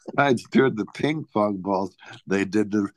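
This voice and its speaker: A man co-hosting a podcast in casual chat, halting and repeating his phrases. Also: lowish voice, dry recording. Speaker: podcast co-host.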